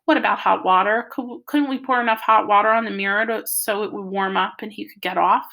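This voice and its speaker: A woman's voice: mid-range voice, fairly even intonation, a little fast, bright, forceful tone, rough recording. A woman voicing a worried Southern mother in a children's story, fretful and tender with a Southern US accent. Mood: anxious.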